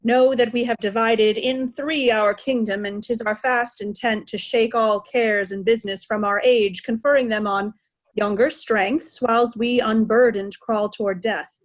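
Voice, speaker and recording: Casting: woman as stately old monarch, higher-pitched voice, commanding, classical stage verse. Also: fairly even intonation, unhurried, lightly acted. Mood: imperious, stately. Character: aging king, imperious, dividing his realm among daughters. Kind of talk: Shakespearean reader's theatre.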